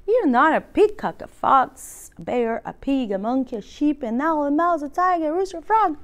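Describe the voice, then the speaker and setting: A middle-aged woman reading a children's picture book aloud, expressive and deliberate, as if for small children. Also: sits high. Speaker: children's librarian, storytime reader. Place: library room with bookshelves.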